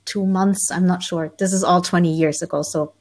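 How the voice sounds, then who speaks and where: A woman's voice: on the low side, moderate pace. Woman guest telling her spiritual story. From Germany. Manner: relaxed, thoughtful, many ums, light laughs. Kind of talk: conversational interview storytelling.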